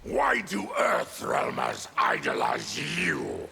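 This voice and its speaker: Bitter monster warrior for a fighting game, super gravelly, slow and swinging wildly in pitch. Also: male voice, huge pitch swings, a little hiss or hum, full character voice. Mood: contemptuous. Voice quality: gravelly, raspy growl. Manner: grim, forceful, slow declarations. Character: fierce, bitter, diseased mutant warrior and former tribune. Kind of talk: video game voice acting.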